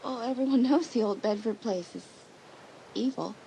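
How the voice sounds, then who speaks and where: A woman's voice: on the high side, moderate pace, creaky, dark tone, a little hiss or hum, rough recording. An actress playing a friendly, chatty teenage schoolgirl in a dramatised audiobook, speaking in a confiding way. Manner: conversational, confiding.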